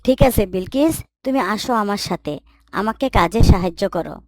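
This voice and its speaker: A woman voicing a kind, pious mother in a moral cartoon, gently admonishing and instructive. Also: medium pitch, animated, normal speed, dry recording, natural voice. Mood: kindly. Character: kind, pious mother gently correcting her young son. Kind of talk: Islamic moral cartoon voice acting.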